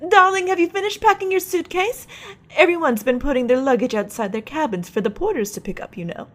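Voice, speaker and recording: A woman's voice: high voice, animated, fairly quick. A woman playing a bubbly, nagging wife. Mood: chatty. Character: chatty, fussy wife on an ocean liner. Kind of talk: amateur radio play acting.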